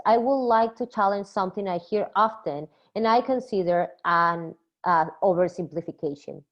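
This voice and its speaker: A young woman from Peru gives a scripted academic presentation in a measured, even manner. The recording is a bit dull and lo-fi, and her voice sits at a middling pitch. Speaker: PhD student presenting a poster.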